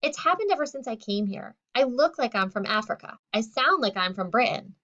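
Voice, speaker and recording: A poised teenage girl, read by a woman with a British-tinged accent. She speaks calmly and plainly in a fairly high voice. The recording sounds dull and lo-fi. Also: fairly quick. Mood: quietly hurt.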